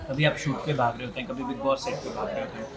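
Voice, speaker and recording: A man's voice: mid-range voice, steady tone, quick pace. Deferential Indian male interviewer, chatter behind. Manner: deferential, often saying sir. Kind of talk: casual interview questioning.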